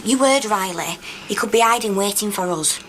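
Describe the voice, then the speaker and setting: An actress playing an anxious, practical miner's wife in a radio drama. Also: female voice, medium pitch, expressive, moderate pace, fairly bright tone, slight background noise, lightly acted, rough recording. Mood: anxious, urgent. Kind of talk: broadcast radio drama acting.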